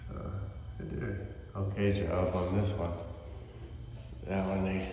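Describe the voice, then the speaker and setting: A man's voice: deep voice, flat delivery, a little slow. A man doing a casual, off-the-cuff walkthrough of a house repair check, speaking in short fragments as a realtor. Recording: very echoey empty rooms, loud background noise.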